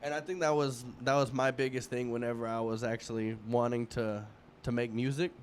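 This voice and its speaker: Male host in his 30s, medium pitch, easygoing rambling chat, faint hiss in the background. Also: fairly quick. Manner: relaxed, rambling, many restarts and fillers. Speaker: podcast host. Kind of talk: casual interview chat.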